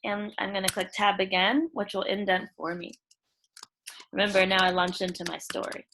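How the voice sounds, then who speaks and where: A woman's voice: medium pitch, steady tone, normal speed, fairly bright tone, slight background noise, low-quality recording. A woman teacher walking pupils through a screen-share tutorial, instructing them informally step by step. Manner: instructional, addresses children informally as "you guys". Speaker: elementary school teacher.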